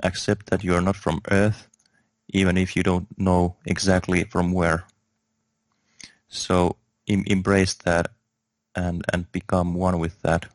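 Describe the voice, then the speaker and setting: A man from Finland, a guest in a spiritual interview, speaking slowly and hesitantly with many fillers and restarts. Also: deep voice, flat delivery, dry recording.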